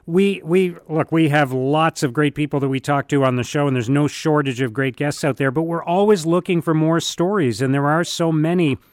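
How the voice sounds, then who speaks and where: A man's voice: a little high, normal speed, hardly any pauses, no room sound, studio quality. A man hosting a radio show, chatty and upbeat, talking in long run-on sentences.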